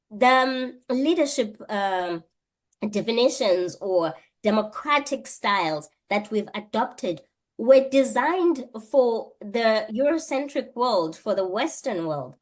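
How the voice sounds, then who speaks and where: A woman, answering an interview question earnestly, thinking aloud with rhetorical questions and frequent restarts. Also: on the high side. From South Africa. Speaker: guest, PhD candidate and lecturer.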